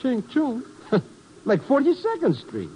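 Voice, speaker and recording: High-voiced, swooping comic sidekick crook. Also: male voice, wildly swooping pitch, takes it slowly, mellow, heavily acted. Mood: sly, ingratiating. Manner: wheedling, blustering, comically indignant. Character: excitable, shifty male small-time smuggler, comic cowardly sidekick. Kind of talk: 1950s radio drama acting. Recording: muffled, hissy 1950s radio recording.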